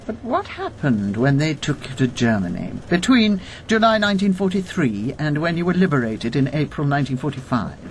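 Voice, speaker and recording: Brisk, imperious elderly Englishwoman. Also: sits low, sing-song, darkish tone, a little hiss or hum. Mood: probing, cool. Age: 70s or older. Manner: clipped, formal, imperious. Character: brisk, unsentimental elderly woman, former British wartime intelligence officer. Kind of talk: radio drama acting.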